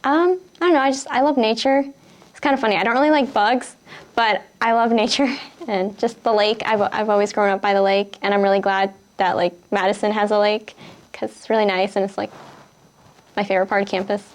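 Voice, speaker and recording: A woman's voice: medium pitch, fairly even intonation, brisk. A 19-year-old woman with a Wisconsin accent, reading a word list and then answering interview questions hesitantly, with many fillers. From Kenosha, Wisconsin.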